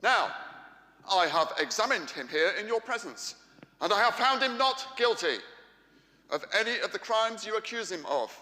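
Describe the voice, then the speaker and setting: A man playing a commanding Roman governor, declaiming forcefully to a mob in a church Passion play. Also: high voice, big pitch swings, moderate pace, pitched above the performer's own voice, exaggerated voice. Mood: firm, declaring. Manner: declamatory, forceful public address. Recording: echoey large church hall.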